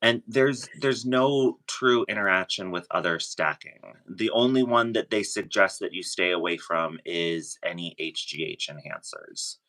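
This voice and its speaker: Low-voiced man with a bright edge. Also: unhurried, few pauses, rough recording. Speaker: interview guest sharing personal experience. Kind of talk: casual chat.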